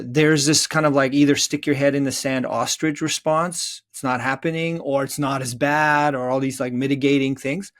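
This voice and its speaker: A man speaking as an interview guest, reflecting in an earnest, thoughtful and explanatory way. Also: medium pitch. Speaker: interview guest, therapist and author.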